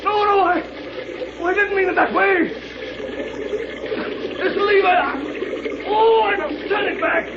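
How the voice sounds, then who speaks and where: An actor playing a desperate, guilt-stricken dying tyrant in a theatrical, halting 1930s radio melodrama style. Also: male voice, extremely high pitch, full character voice. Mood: frantic, pleading. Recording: noisy muffled 1930s radio recording.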